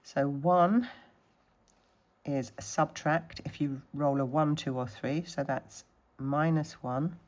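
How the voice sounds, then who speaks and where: Snuffly low-voiced woman counting savings. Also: slow pace, darkish tone, a little hiss or hum. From Scottish Highlands. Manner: chatty, counting money aloud. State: snuffly from a cold. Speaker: budgeting vlogger. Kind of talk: casual talk-through of savings challenges.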